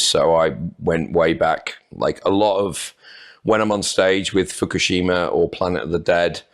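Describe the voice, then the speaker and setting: A man answers interview questions, his voice sitting very low and heavy. He talks in a relaxed, rambling way and pokes gentle fun at himself. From North Wales. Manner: unhurried, rambling, self-deprecating. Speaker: podcast guest. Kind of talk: casual interview chat.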